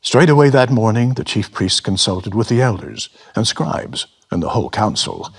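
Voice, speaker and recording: A man narrating scripture dramatically, deliberate and grave in his delivery. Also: fairly low pitch, lively intonation, husky.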